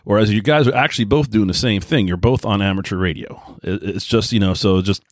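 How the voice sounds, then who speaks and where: A middle-aged man with an American accent talking casually and informally in a rambling monologue, often halting and rephrasing himself. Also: fairly low pitch, no room sound, clean studio sound. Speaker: podcast host, radio hobbyist.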